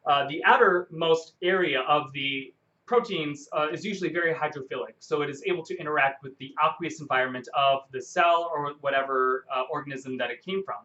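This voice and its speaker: A man giving an unscripted, explanatory science lecture, halting and often correcting himself as he goes. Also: fairly high pitch, pushed and bright, highs cut off.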